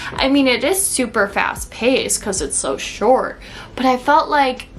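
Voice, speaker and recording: A woman's voice: fairly high pitch, slight background noise. A woman talking in an informal, conversational way, like a book vlogger chatting casually to viewers. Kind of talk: casual chat to camera, book review.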